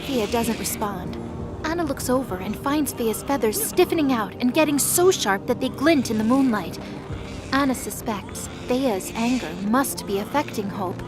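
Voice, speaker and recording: A woman with a fairly high voice narrates a dramatic story from a script. Music plays clearly behind her narration. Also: lively intonation, moderate pace.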